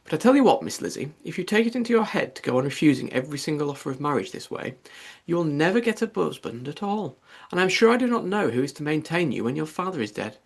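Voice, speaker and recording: Audiobook mother, played by a man: middle-aged, fretful, self-pitying, raised pitch, agitated and melodramatic. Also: very animated, quick pace, creaky, husky, full character voice. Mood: scolding, aggrieved.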